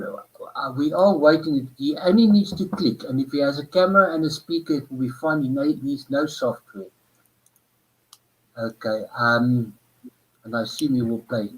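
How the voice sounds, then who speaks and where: A man from South Africa hosts a live online stream, talking through problems out loud with plenty of ums and restarts. His voice sits at an ordinary middle pitch, and the sound comes through a plain computer microphone on a video call. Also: poor audio. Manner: hesitant, many fillers, thinking aloud. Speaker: livestream host. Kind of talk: casual chat while troubleshooting.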